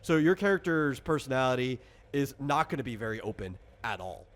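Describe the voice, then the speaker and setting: A man's voice: medium pitch, very animated, fairly quick. A man casually walking a player through a tabletop game as game master, rambling, explanatory and freely opinionated.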